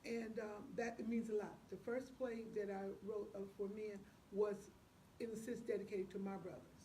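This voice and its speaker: A woman's voice: mid-range voice, flat delivery, normal speed, mellow, slight background noise, rough recording. A woman speaking as an interview guest, chatting casually and personally about her own work.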